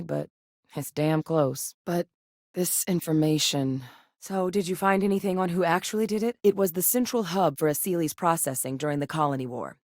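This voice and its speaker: An actress voicing a composed female scientist and military officer in a video game, speaking in a measured, earnest and direct way. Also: lowish voice, vocal fry, no room sound, clean studio sound. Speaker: game character voice. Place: recording studio. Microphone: close studio mic.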